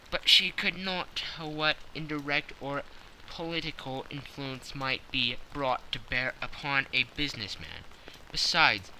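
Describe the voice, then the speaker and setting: A man narrating a classic story as an audiobook, measured and expressive. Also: mid-range voice, slight background noise, poor audio.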